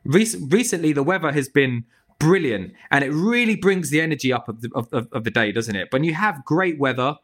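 A man's voice: a little high, fairly quick. A man, a tree surgery business owner, chatting casually on a podcast with restarts and swearing. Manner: animated, swears freely, lots of restarts.

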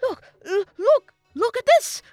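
A woman's voice: medium pitch, expressive, normal speed, fairly bright tone, dry recording, soft background music. A woman voicing an old male professor, eager, inquisitive and theatrical, in a storybook narration. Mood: excited. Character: excitable, curious old male professor and researcher.

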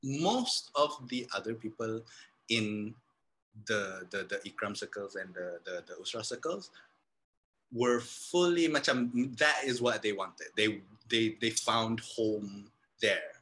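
A man speaks with a Malaysian American accent in a relaxed podcast conversation, pitched a little low for a man. His tone is bright and forceful. He works through his memories in a halting way, often repeating words and restarting his sentences. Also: low-quality recording. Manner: reflective, halting with frequent restarts. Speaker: guest.

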